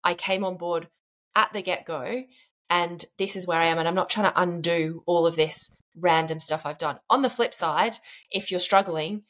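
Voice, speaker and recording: A woman hosting a relaxed podcast interview. Her voice sits low for a woman, and she sounds earnest and encouraging as she talks. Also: normal speed. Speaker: podcast host. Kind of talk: casual interview chat.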